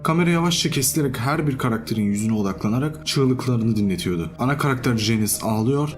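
A man narrating a scripted story as the voice-over of an online video. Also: medium pitch.

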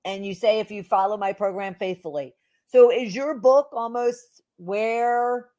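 Female podcast host, mid-pitched voice, hesitant and affirming. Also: mellow. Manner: quick affirmations, halting questions. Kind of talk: podcast interview.

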